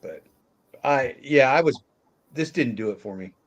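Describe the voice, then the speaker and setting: A man's voice: mid-range voice, animated, unhurried. Male host, middle-aged, mid-range voice, informal and loose movie talk. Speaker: host of a movie review show. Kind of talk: casual movie discussion.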